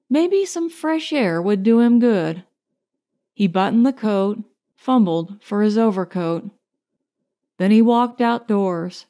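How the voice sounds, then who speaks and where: A woman narrates a short story for an audiobook. Her delivery is scripted and slow, and her voice sits at a medium pitch for a woman. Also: mellow.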